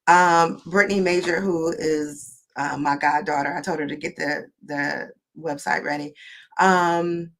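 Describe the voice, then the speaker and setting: A woman guest on a video call, sounding wheezy and hoarse, talking hesitantly with many pauses and ums. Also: low voice, steady tone, poor audio. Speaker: guest speaker. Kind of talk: casual online interview.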